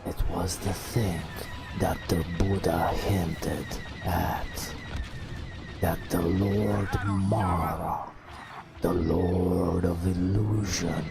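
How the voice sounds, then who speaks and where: A man narrating an ASMR bedtime story in a soft, breathy, often whispered voice, in halting short phrases. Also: low voice, flat delivery, over loud music.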